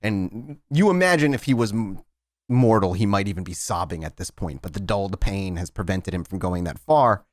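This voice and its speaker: A man's voice: low voice, expressive, no echo. Man narrating a game, close and dry. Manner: halting, descriptive, dramatic pauses. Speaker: game master. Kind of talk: improvised narration of a tabletop game.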